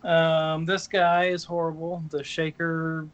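A man's voice: fairly high pitch, monotone, slow, muffled recording. A man in casual chat, rambling and hesitant as he shows off toys. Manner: rambling, hesitant, many fillers.

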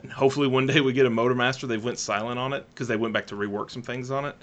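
A man in a relaxed, casual chat, thoughtful and trailing off at times. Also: mid-range voice, rapid-fire, lo-fi sound.

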